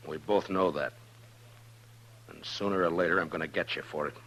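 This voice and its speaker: Casting: male actor for deep, deliberate, weary frontier lawman, grave and sparing with words, old radio drama. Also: natural voice. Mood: accusing, firm. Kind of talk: 1950s radio Western acting. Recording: noisy old radio recording.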